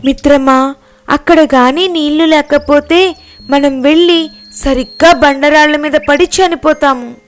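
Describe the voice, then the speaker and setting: Woman as a cautious, high-voiced storybook frog. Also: unhurried. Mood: warning. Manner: earnest, careful. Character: cautious, sensible frog warning a friend.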